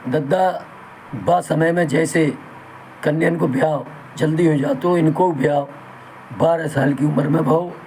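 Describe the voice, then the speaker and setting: A man speaks slowly and haltingly through a sore throat, his voice sitting a little high. His manner is folksy and reverent, and he addresses his listeners as brothers. A faint hiss of background noise runs under his talk. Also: fairly even intonation, darkish tone, rough recording. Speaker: channel host. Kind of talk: talk in Hindi with Bundeli dialect.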